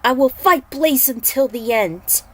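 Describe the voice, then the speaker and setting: A woman plays a godlike warrior hero from an action fantasy story. He is solemn and resolute, with grave, deliberate delivery. Every phrase is declaimed like a vow. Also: high-pitched, expressive, pitched above the performer's own voice.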